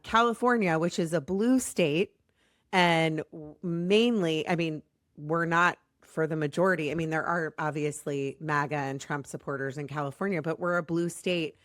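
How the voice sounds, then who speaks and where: An American woman hosting a podcast in a chatty, rambling, self-deprecating way, her voice slightly raspy as it recovers from being lost. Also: deep voice, fairly even intonation, normal speed, no echo, clean studio sound. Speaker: podcast host.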